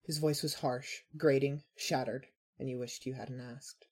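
Woman narrator, soft dark tone, bit raspy, measured dramatic story reading. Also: rumbling low, steady tone. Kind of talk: scripted fan fiction narration.

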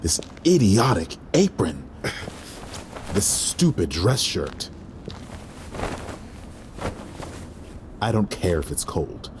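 A man's voice: low-pitched, big pitch swings, takes it slowly, noisy background, exaggerated voice. A man playing a weary, resentful waiter who narrates an audio drama in a dry, sardonic inner monologue. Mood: bitter, fed up. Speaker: lead actor and narrator.

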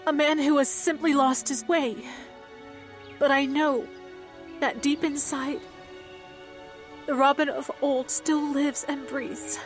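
A woman's voice: sits high, flat delivery, slow pace, long pauses, faint music behind. A woman playing an earnest, reproachful and compassionate young noblewoman in an audio drama, speaking formally and with righteous intensity. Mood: pleading.

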